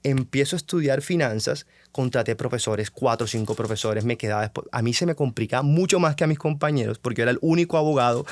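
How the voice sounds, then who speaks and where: A man's voice: mid-range voice, quick pace, hardly any pauses, dry recording. A young man, hosting a motivational podcast and telling his own story, acting out the questions he once asked himself. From Colombia. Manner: animated, acts out his own past self-questioning. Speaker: podcast host.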